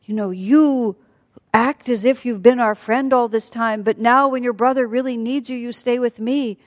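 A woman's voice: mid-range voice, unhurried, a little mellow, lightly acted. A woman voicing a young royal wife in a told story, insistent and emotional as she pleads. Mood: angry accusing. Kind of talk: storytelling acted dialogue. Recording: hall with long reverb.

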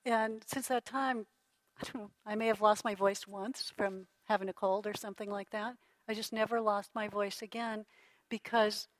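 A middle-aged woman lecturing a class on healing in an unhurried, reflective way, with a voice that sits at an ordinary middle pitch. She often circles back to restart her sentences and breaks into soft laughs. The recording is close and dry, with no room sound. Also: no echo, rough recording. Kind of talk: relaxed teaching talk to a class.

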